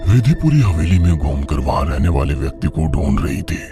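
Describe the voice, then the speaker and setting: Deep voiced guy narrating a horror story, suspenseful, very up and down, music behind. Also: sing-song, fairly quick, vocal fry, dark tone. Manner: dramatic, suspenseful. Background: background music.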